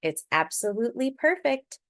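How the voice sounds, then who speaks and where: A woman voicing a loyal, wordy father from a picture book in a measured, emphatic manner. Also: a little high, sing-song, fairly bright tone. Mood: reassuring.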